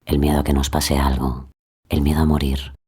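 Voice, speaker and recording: A very deep, ominous narrator. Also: male voice, little pitch movement, dark tone, slightly rough, heavily acted. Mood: ominous, intimate. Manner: deliberate, rhetorical, addresses the listener directly. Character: brooding male horror-anthology narrator, intimate and ominous. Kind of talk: scripted horror podcast narration.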